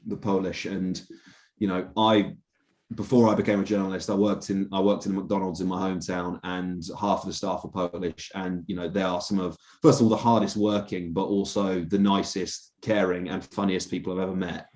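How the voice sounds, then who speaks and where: A young man with a low, mellow voice talks through his views as a podcast guest. He restarts his sentences often and pads them with fillers, earnest and thoughtful. The tone is dark and warm rather than bright. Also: flat delivery, a little fast. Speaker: journalist, interview guest. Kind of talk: casual podcast conversation.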